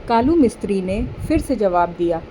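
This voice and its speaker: A woman's voice: medium pitch, lively intonation, normal speed, warm tone, a little hiss or hum. A woman giving scripted narration of a children's story.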